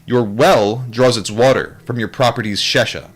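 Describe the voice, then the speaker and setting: A man's voice: medium pitch, normal speed, faint music behind. A man reading aloud from a book in an informal way, sometimes adding casual commentary and stumbling over difficult terms. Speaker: book reader, streamer.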